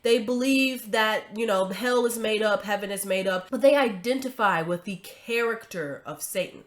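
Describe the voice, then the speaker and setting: A woman's voice: mid-range voice, normal speed. A woman hosting a commentary video, talking casually with a stuffy nose from a cold, emphatic and chatty with frequent tag questions.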